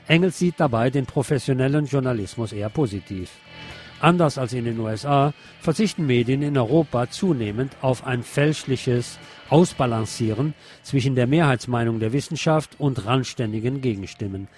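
A man's voice: medium pitch, moderate pace, a little mellow, faint music behind. A man reading scripted news as a radio host. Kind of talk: scripted news narration over music.

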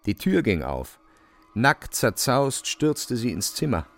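A man narrating a literary radio play in a measured, deliberate storytelling manner. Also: mid-range voice, big pitch swings, fairly bright tone, soft background music.

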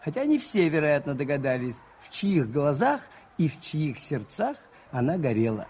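A mild, thoughtful priest narrating a radio mystery. The voice is somewhat high with lively intonation, and the old recording is slightly dull. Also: male voice, normal speed, breathy edge, exaggerated voice. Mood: reflective, wry. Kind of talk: reflective radio drama narration. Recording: dull, faintly noisy 1980s radio recording.

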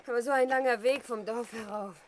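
An actress playing a weary, complaining young governess in a 1960s German radio play, chatty, teasing and plaintive. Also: female voice, a little high, fairly even intonation, a little fast, natural voice. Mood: weary, grumbling. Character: weary, complaining young governess visiting her adoptive aunt. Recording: noisy 1960s radio recording with clicks.

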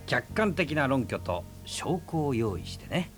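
A man's voice: mid-range voice, very animated, a little slow. An actor playing a dry, logical middle-aged man in a radio drama, talking in a matter-of-fact, reasoning way. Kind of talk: 1990s radio drama acting. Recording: music bed under the dialogue.

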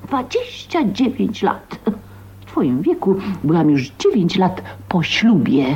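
A woman's voice: fairly low pitch, wildly swooping pitch, takes it slowly, a little mellow, muffled recording, exaggerated voice. An actress playing a domineering middle-aged mother in a radio drama, stern, dismissive and imperious. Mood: stern, mocking.

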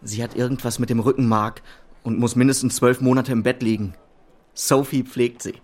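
A man's voice: medium pitch, steady tone, moderate pace, darkish tone, lightly acted. A man playing a good-natured, patient young man in a radio drama, mild, earnest and chatty. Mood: fond, earnest. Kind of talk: German radio drama acting.

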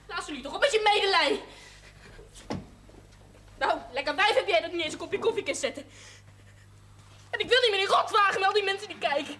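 An actress playing a bedridden, sharp-tongued sick young woman in a naturalistic television drama. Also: extremely high pitch, brisk, long pauses. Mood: angry, distressed. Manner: blunt, swearing, emotional. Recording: noisy old television broadcast audio.

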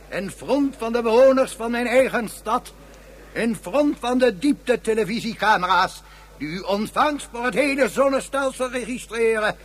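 A man's voice: high-pitched, fairly bright tone, heavily acted. An actor playing a pompous, effusive male president of Mars in a radio play, speaking in flowery, theatrical speeches.

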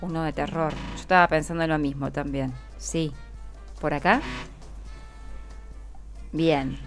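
A woman's voice: sits low, steady tone, measured pace, soft background music. A woman with a Buenos Aires accent hosting a live radio show, chatting with guests and reading out listeners' greetings. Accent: Argentine, Buenos Aires. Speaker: radio host. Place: community radio studio. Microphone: studio microphone.

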